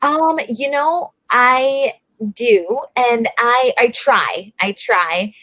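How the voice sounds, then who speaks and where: Woman English tutor with a slightly high voice, encouraging and chatty, dry close sound on a video call. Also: very slow, a bit bright, no room sound, poor audio. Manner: encouraging, filler-heavy with "like" and "right?". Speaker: English teacher.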